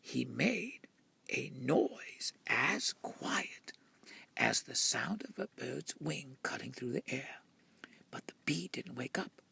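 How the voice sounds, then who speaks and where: A man tells a children's story in a very breathy, gravelly voice that often nears a whisper. His delivery is slow and drawn-out, with dramatic expression. The sound is dry and close. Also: medium pitch, expressive, sharp tone, dry recording. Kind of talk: expressive picture-book storytelling.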